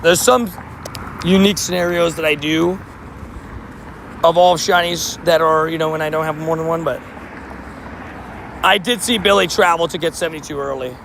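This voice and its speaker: Slightly hoarse man live-streaming by traffic. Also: fairly high pitch, steady tone, moderate pace, long pauses, fairly bright tone, rough recording. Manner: chatty, reads out and answers chat. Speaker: livestream host. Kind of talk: casual live-stream chat. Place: outdoors by a street with traffic.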